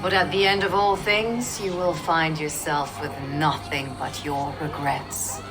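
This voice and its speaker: A woman's voice: sits low, fairly even intonation, unhurried, music in the background, poor audio. An actress playing a grave, defiant pagan witch and seer in a video game, solemn and portentous. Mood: solemn, ominous. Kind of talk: video game cutscene acting.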